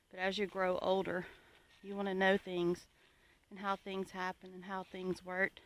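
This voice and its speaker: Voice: mid-range voice, fairly bright tone. A homesteading vlogger from the United States giving an informal, reflective talk to viewers in an earnest, plainspoken, advisory manner.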